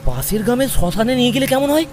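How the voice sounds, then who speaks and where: Man doing a squeaky-high worried villager. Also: very animated, racing, music in the background. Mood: troubled. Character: worried, practical villager fretting over a funeral. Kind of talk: cartoon horror story acting.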